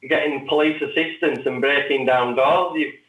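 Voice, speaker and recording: A man giving a spontaneous account as an interview guest, halting and often repeating his words. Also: medium pitch, fairly even intonation, a bit bright, muffled recording. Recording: roomy echo, distant from mic.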